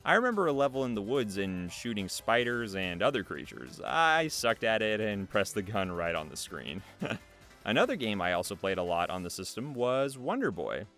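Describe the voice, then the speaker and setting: Man with very animated, swooping intonation. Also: fairly low pitch, moderate pace. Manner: chuckles often between lines. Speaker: host reading an artist's written interview answers. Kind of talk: upbeat scripted interview narration. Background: background music.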